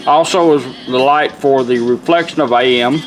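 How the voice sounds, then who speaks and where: A man's voice: medium pitch, moderate pace, over music, low-quality recording. A man giving an informal product demonstration in a folksy, plainspoken way. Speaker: presenter demonstrating a restored car radio.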